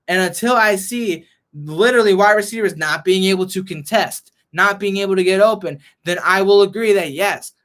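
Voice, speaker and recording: A young man ranting as a frustrated sports fan vlogger, hoarse and losing his voice, reading and answering chat. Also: sits high, moderate pace, a bit bright. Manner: frustrated, heated rant.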